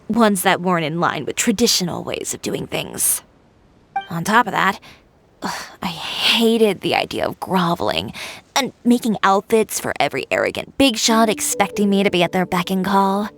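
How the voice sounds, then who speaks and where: A young woman who designs fashion, in a video game story. Her voice sits at a middle pitch. She is assertive and proud, with a frank, measured and wry delivery. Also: big pitch swings, no room sound. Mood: wry, defiant.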